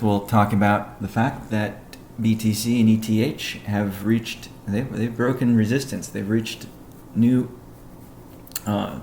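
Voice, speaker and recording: A man with a low, creaky voice delivers a technical business update on a conference call. His delivery is measured and halting, with little melody. The sound is roomy and echoey. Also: darkish tone. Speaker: company executive giving update. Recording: echoey room, faint background noise.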